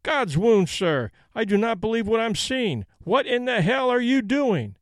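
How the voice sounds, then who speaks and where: Man doing a furious general, high strained voice, loud, cursing, commanding, dry close sound. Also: sing-song, a little slow, dry recording, full character voice. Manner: roaring, cursing, indignant.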